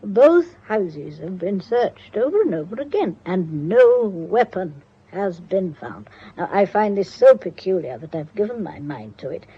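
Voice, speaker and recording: Husky, imperious old British grandmother. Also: mid-range voice, sing-song, heavily acted. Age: older. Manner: stately, deliberate, pronouncing. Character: imperious, inquisitive old grandmother, shrewd and stubborn. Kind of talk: British radio drama acting. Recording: dull lo-fi radio recording.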